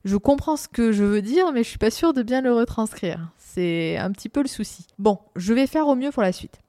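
A woman's voice: on the high side, lively intonation, moderate pace, dark tone, no room sound, clean studio sound. A young woman hosting a running show, explaining things in a casual, thinking-aloud way with frequent hesitations and restarts. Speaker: running podcast host.

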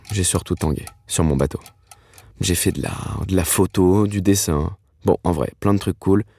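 Very deep-voiced man narrating. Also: darkish tone. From Paris, France. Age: 30s. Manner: wry, slangy, dramatic pauses. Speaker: narrator telling his own life story. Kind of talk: scripted first-person narration.

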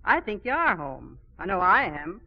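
A woman acting in 1940s radio style as a tough, kindly old theatre cleaner, gruff and plain-spoken. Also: lowish voice, big pitch swings, normal speed, heavily acted. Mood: wistful, wry. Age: older. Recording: muffled 1940s radio broadcast.

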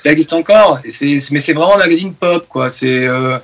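Man, forties, from France, musician guest, rambling casual talk, slightly high voice. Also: brisk. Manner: chatty, loose, lots of fillers and restarts.

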